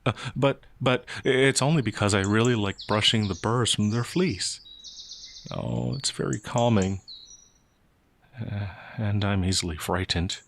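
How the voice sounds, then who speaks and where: A man playing a gentle, anxious werewolf outlaw in a fantasy ASMR roleplay, hesitant, stammering and apologetic. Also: fairly low pitch, unhurried, creaky, slight background noise. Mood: timid, confiding. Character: gentle, timid, anxious male werewolf outlaw, easily frightened.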